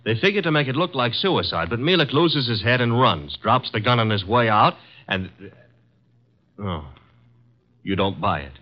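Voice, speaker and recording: Man playing a brash sarcastic assistant, lively inflection, breezy, muffled vintage radio. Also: mid-range voice, close to an everyday voice. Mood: wry. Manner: breezy, sarcastic, quick with a comeback. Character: wisecracking young male assistant, brash and cheeky. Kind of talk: 1950s radio drama acting. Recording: dull lo-fi 1950s radio broadcast.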